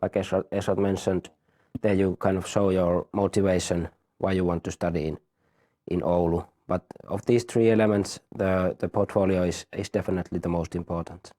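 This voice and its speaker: A man in his thirties, a university teacher, explaining a study program in a halting way, often repeating words. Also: sits low, fairly even intonation, dark tone. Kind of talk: explaining in an interview.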